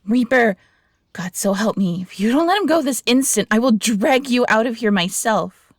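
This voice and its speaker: A woman's voice: a little high, animated, normal speed, dry recording, clean studio sound. A woman playing a loyal, feisty young fox hybrid, earnest and protective, in a fantasy audio roleplay. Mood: stern, urgent. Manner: earnest, defiant, pleading.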